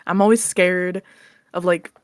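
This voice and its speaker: A woman speaks as a guest in a casual interview. Her voice sits a little low and is recorded dry and close. She is informal and modest about herself, often softening what she says. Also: fairly even intonation, unhurried, clear, resonant tone, no room sound. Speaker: podcast guest, ASMR roleplay creator. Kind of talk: casual chat, answering interview questions.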